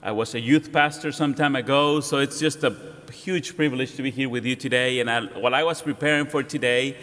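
A middle-aged man with a Mexican accent preaches from a church pulpit, stopping and restarting his sentences as he jokes at his own expense. His voice sits at an ordinary middle pitch. A long reverb from the sanctuary trails each phrase. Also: fairly even intonation. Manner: halting, warm, self-deprecating, with frequent restarts. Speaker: guest pastor. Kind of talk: sermon.

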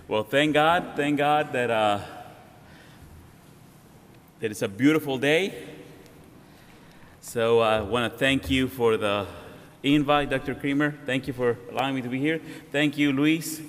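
A middle-aged man with a Mexican accent preaches from a church pulpit, stopping and restarting his sentences as he jokes at his own expense. His voice sits at an ordinary middle pitch. A long reverb from the sanctuary trails each phrase. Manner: halting, warm, self-deprecating, with frequent restarts. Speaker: guest pastor. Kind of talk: sermon.

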